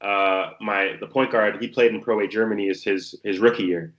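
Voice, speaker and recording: A man in his thirties from the Bahamas, a pro basketball player telling his story as a podcast guest in a relaxed way, with lots of "like" fillers. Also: fairly low pitch, moderate pace, muffled recording. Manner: relaxed, many "like" fillers, laid-back storytelling. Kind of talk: casual interview chat.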